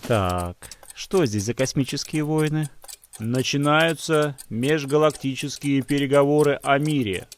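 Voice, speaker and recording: A man voicing a grown cat who calmly keeps order among kittens in a children's cartoon, speaking with authority. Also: medium pitch, a little slow, a little hiss or hum, lightly acted. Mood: firm, calm.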